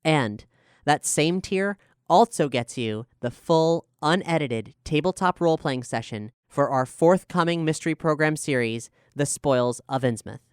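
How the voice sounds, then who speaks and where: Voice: mid-range voice, a little slow, clean tone, no echo, clean studio sound. A podcast showrunner and host talking casually with guests, then reading out an earnest promotional announcement to listeners.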